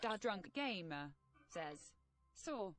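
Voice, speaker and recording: A text-to-speech woman's voice reading out live chat messages, with flat, synthetic delivery and odd stresses. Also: mid-range voice, slow, music in the background.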